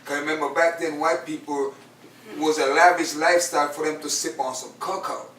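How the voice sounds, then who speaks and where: Animated Jamaican English-speaking man in an echoey room. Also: a little high, fairly quick, a little hiss or hum. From Costa Rica. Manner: animated storytelling, says "you know what I mean". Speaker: musician guest. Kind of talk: casual interview chat. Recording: roomy room, distant mic.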